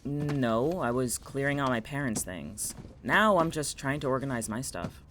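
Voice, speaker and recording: An actor voices a world-weary teenage girl in a podcast drama. The delivery is curt and deadpan, middle in pitch, with faint noise behind it. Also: moderate pace, close to an everyday voice. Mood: matter-of-fact. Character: Vincent, weary, dry, bossy teenage girl. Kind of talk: naturalistic audio drama acting with monologue.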